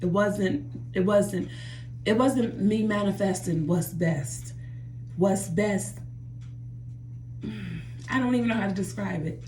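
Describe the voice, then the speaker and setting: A woman's voice: mid-range voice, dark tone, reverberant, slight background noise. A woman talking earnestly to her viewers in a personal vlog from her bathroom, often repeating herself and calling them "y'all". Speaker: vlogger. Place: home bathroom by a running jacuzzi.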